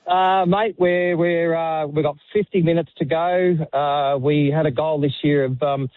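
An Australian man, a firefighter, talking by phone with many halting restarts and fillers. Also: on the high side, fairly even intonation, dry recording. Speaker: firefighter and fundraiser. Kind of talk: live phone interview. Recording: tinny phone line.

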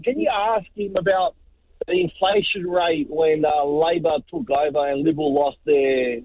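A man, a truck driver calling in, talking haltingly with lots of fillers and jokes. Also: sits high, lively intonation, vocal fry, no room sound. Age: 40s. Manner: halting, joking, full of fillers. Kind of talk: phone-in call. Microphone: phone. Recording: tinny phone line.

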